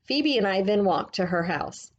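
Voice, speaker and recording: A woman reading a book aloud like a teacher, in a gentle, measured, explanatory way. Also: medium pitch, animated.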